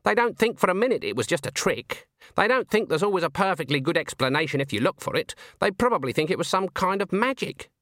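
Man voicing a haughty old witch, pitched up. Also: fast, few pauses, no room sound, clean studio sound, exaggerated voice. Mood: stern, irritated. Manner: haughty, clipped, deadpan. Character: stern, haughty, terse old woman witch. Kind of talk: audiobook character acting.

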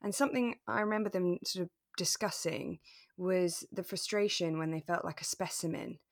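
A woman with a fairly low voice talks casually about a radio drama as a co-host. The sound is dry, with very little room around her. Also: moderate pace, no room sound. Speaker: podcast co-host.

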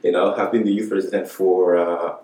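A man's voice: sits low, flat delivery, fairly quick, warm tone, distant mic. Roomy off-mic young man, laid-back. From Montreal, Canada. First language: French. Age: young adult. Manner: laid-back, laughing, many fillers like "you know". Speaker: guest, church youth president. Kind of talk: casual podcast chat.

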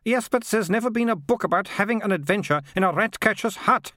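A man voicing an excitable, squeamish, bookish young girl in an audiobook, exclaiming and fretful. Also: very high voice, a little slow, no echo, clean studio sound, voice pushed up, heavily acted. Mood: panicked.

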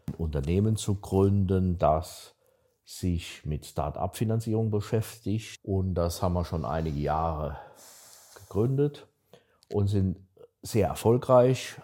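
Man, sixties, easygoing podcast host, lowish voice with a warm, mellow tone. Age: 60s. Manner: relaxed storytelling, mimics a bank clerk in quoted anecdotes. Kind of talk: casual podcast conversation.

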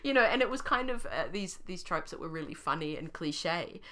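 A woman with a New Zealand accent and a croaky voice, co-hosting a podcast and telling a story in an animated, laughing way. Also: on the low side, brisk. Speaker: podcast co-host. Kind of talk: casual chat.

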